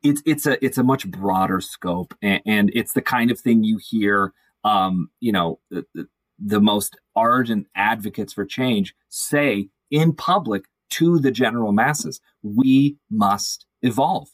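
A man with a somewhat low voice talks through ideas as a guest in a discussion. He is thoughtful and measured, stacking phrases a little like a speech. The recording is close and dry. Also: no room sound, low-quality recording.

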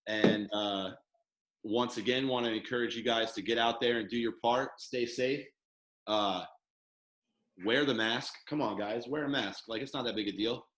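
A man's voice: mid-range voice, moderate pace, fairly bright tone, echoey room. A man hosting a casual podcast, talking loosely with lots of fillers and restarts. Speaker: podcast host. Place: studio headquarters room.

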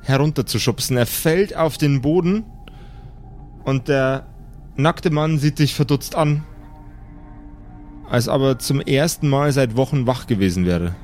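A man narrating an improvised tabletop horror adventure as game master, pausing as he builds each description. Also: mid-range voice, expressive, darkish tone. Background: background music.

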